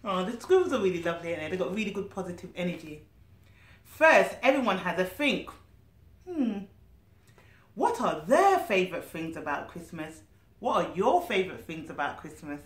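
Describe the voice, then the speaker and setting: Female storyteller, thirties, nanny style, very animated and expressive, recorded in a roomy living room. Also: lowish voice, normal speed. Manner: expressive, asks the listener questions. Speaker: nanny reading a storybook to children. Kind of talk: animated children's story reading with asides. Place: small living room, indoors.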